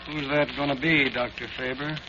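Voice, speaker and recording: A man's voice: mid-range voice, level tone, normal speed, lightly acted. Brief: man as a coaxing schemer, muffled 1940s radio with heavy hiss. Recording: dull lo-fi 1940s radio recording with loud hiss.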